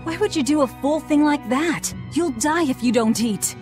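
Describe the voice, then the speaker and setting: A woman's voice: high-pitched, normal speed, music in the background, lightly acted. A woman playing a blunt, driven young female fighter in a video game, curt and stern. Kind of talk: English game voice acting.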